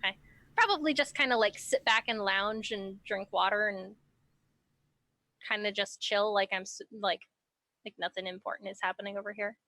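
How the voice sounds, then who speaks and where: A woman's voice: mid-range voice, fairly even intonation, moderate pace, pushed and bright, no echo. A woman playing a tabletop game over an online voice call, chatting and thinking aloud about her moves. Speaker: player. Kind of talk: casual chat while playing a tabletop game. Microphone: computer mic on an online voice call.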